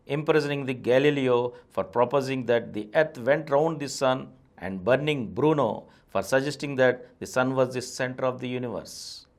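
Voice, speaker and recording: A middle-aged man with an Indian English accent, steadily reading a nonfiction book aloud as the host of his channel, recorded on a lapel mic in a small studio. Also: mid-range voice. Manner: steady, deliberate reading, eyes on the page. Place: small studio with a painted backdrop and plants.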